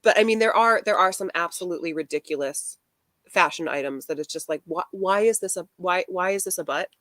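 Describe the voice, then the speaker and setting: A woman talking in a casual live chat about fashion, deadpan and wry. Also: fairly low pitch, a little fast, no echo, clean studio sound. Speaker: fashion commentary creator.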